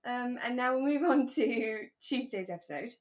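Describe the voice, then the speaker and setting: A woman co-hosting a podcast, talking in a relaxed, earnest and reassuring way. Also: on the high side, moderate pace. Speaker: podcast co-host. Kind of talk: relaxed discussion of a radio drama.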